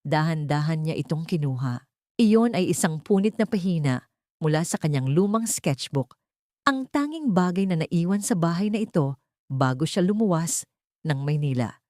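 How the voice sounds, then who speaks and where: A woman's voice: low voice, animated, moderate pace, mellow, no room sound, clean studio sound. A woman narrating a scripted dramatic story in a solemn, emotive manner.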